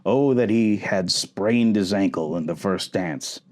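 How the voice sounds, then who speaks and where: A man's voice: low voice, a little slow, a little mellow, pitched below the performer's own voice. A man voicing an impatient, exasperated middle-aged husband from a storybook, with theatrical delivery. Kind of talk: storybook character acting.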